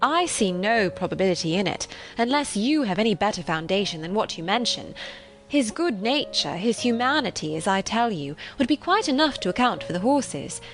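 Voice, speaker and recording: A woman voicing a spirited, opinionated young gentlewoman in an audiobook, with emphatic, exclamatory delivery and formal period phrasing. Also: mid-range voice, sing-song, normal speed, faint music behind. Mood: indignant, protesting.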